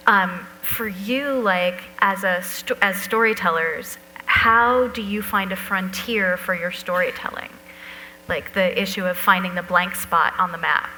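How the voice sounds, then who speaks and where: A woman's voice: medium pitch, measured pace, pushed and bright. A woman moderating a live on-stage interview, asking questions in conversational, tentative phrases. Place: live talk event hall. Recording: reverberant hall with noise and hum.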